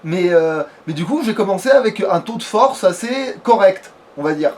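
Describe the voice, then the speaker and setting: High-voiced man rambling through casual gaming commentary. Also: lively intonation, normal speed. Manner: rambling, slangy, foul-mouthed. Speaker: let's play host and player.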